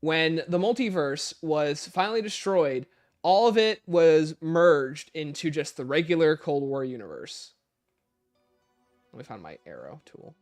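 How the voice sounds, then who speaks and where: Animated man hosting a casual livestream. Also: on the high side, measured pace, soft background music. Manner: informal, thinks aloud. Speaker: livestream host. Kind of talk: casual livestream Q&A, reading out and answering chat questions.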